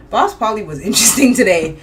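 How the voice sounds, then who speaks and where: A woman co-hosting a casual podcast, animated and quick to laugh, with a voice that turns raspy at times. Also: mid-range voice. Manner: animated, exclaiming, laughing often. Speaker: podcast co-host. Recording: distant mic, faint ambient noise.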